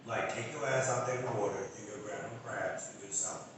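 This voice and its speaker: A man's voice: lowish voice, fairly even intonation, fairly quick. A man co-hosting a casual podcast, bantering and joking in a laid-back way. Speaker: podcast co-host. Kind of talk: casual chat. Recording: echoey room from far off with noise.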